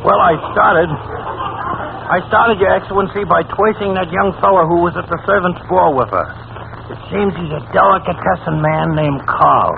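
An actor playing an eager, excitable police agent who repeats himself in an old radio comedy. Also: male voice, high voice, normal speed, gravelly. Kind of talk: 1940s radio comedy acting. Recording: tinny old-time radio recording, faint noise.

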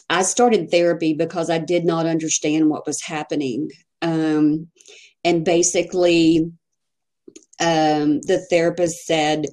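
A woman with a Texan accent speaks slowly and hesitantly, pausing often as a podcast guest. Her voice sits a little low and sounds mellow and warm. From Texas. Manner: soft-spoken, hesitant, many pauses and fillers. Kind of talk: personal testimony in a podcast interview.

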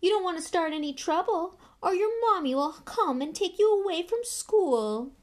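A woman voicing a scornful schoolboy bully from a storybook, loud, mocking and scathing. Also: very high voice, huge pitch swings, measured pace, pitched above the performer's own voice, heavily acted.

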